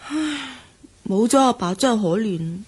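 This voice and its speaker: A woman acting a devoted, chatty young best friend in a Cantonese radio drama, teasing in a husky voice with a Hong Kong accent. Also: medium pitch, lively intonation, moderate pace, darkish tone. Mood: sympathetic. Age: young adult.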